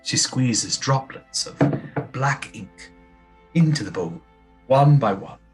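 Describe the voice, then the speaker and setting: A man's voice: mid-range voice, expressive, slow pace, soft background music. A man reading scripted stage directions as the narrator of an audio play.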